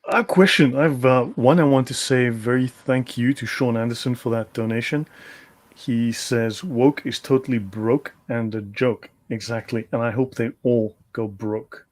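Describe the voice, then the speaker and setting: A man's voice: medium pitch, steady tone, measured pace. Guy hosting a livestream on a video call, easygoing, says uh a lot, normal mid voice. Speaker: livestream host. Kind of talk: casual livestream chat.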